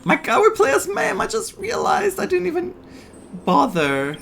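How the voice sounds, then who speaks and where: A woman giving casual playthrough commentary as a gaming streamer, in an excitable, gushing manner. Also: very high voice, normal speed, gravelly, a little hiss or hum.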